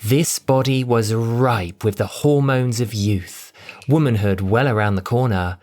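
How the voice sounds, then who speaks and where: A narrator reading a scripted story in an expressive, theatrical, dramatic audiobook style. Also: medium pitch, a little slow.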